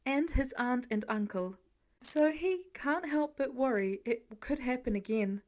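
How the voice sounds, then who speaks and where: A woman's voice: on the high side, a little mellow. A woman reading scripted fan fiction narration as an audiobook narrator.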